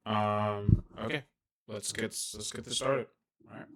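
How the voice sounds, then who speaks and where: Quiet young guy reacting to a trailer, kinda low voice, lots of ums. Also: steady tone, a little slow. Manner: soft-spoken, rambling with many fillers. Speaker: reaction video host. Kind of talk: casual reaction commentary.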